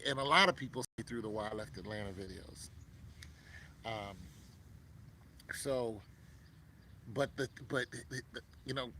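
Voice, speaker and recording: A man's voice: medium pitch, pushed and bright, a little hiss or hum, poor audio. A man in his fifties talking casually to a viewer, rambling with many fillers and restarts. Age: 50s. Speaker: vlogger.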